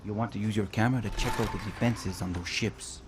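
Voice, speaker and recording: An actor playing a steady male guerrilla comrade in a video game. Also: on the low side, fairly quick, heavy background noise, close to an everyday voice. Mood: calm, wistful. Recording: loud music behind.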